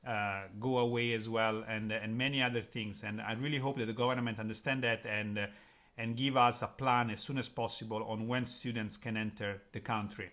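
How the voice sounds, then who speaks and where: A man's voice: fairly low pitch, fairly even intonation, fairly quick, a bit bright. Man hosting an interview channel. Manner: friendly, hesitant with frequent uh fillers, non-native phrasing. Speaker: YouTube channel host, interviewer. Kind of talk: video call interview.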